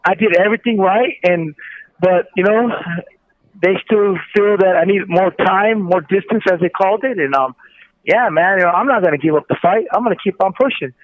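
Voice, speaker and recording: Tinny dry phone line, high-pitched man, casual testimonial, earnest, emphatic, repetitive. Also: moderate pace, dry recording. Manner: earnest, emphatic, repeats phrases. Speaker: guest calling from prison. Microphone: telephone handset.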